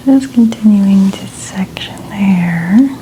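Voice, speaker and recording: A woman doing soft-spoken, breathy ASMR, often whispering as she gently and soothingly narrates each step. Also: medium pitch, slow pace, slight background noise, poor audio. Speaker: ASMR creator styling a client's hair.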